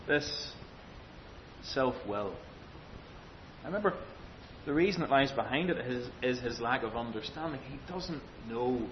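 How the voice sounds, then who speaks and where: Preacher on a dull, lo-fi recording. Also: male voice. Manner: emphatic, deliberate phrasing with rhetorical questions and repetition. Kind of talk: expository sermon. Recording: dull lo-fi sound, faint rumbling noise.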